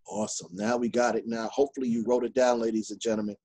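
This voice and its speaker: A man's voice: medium pitch, flat delivery, fast, dark tone, breathy edge. A man from New York City hosting a business podcast over a video call, upbeat and chatty. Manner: upbeat, laughs often, chatty. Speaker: podcast host. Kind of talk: casual interview. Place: indoor office.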